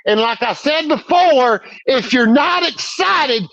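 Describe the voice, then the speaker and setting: Cocky, cursing male co-host with a high voice on a dull recording. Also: animated, slow pace, a bit bright, no echo. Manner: brash, profane, boastful. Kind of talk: casual podcast banter.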